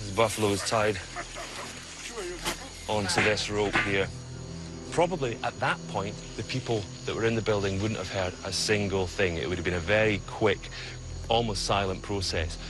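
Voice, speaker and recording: A man's voice: lowish voice, normal speed, noisy background. A man presenting a wildlife documentary, explaining and narrating in an earnest way. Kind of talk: scripted narration and on-location explaining.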